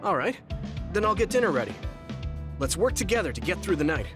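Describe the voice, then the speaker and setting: An actor playing a kind, level-headed young man in an anime game, gentle and considerate. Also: sits high, very animated, brisk, over music. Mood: kind, calm.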